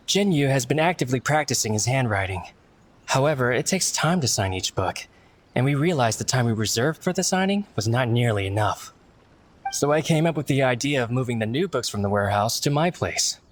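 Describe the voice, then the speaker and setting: A man voicing an earnest young book editor in a video game, polite, measured and contrite. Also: mid-range voice, a little hiss or hum, natural voice.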